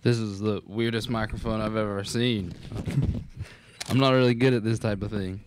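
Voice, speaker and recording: Young man as drunk celebrity, flat mid-low delivery, rambling, very noisy live stage, radio comedy. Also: moderate pace, natural voice. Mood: tipsy, awkward. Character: tipsy, unsteady male Hollywood star, rambling. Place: college classroom stage, indoors. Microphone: stand microphone. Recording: loud background noise, live stage.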